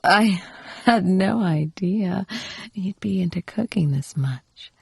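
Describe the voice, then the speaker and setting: Woman acting a breathy, doting yandere wife. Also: mid-range voice, animated, a little mellow, dry recording, full character voice. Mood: coaxing, pleased. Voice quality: breathy, often dropping to a whisper. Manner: coaxing, cooing pet names, unhurried murmuring. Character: doting, possessive yandere wife, playful and teasing. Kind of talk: intimate audio roleplay addressed to the listener.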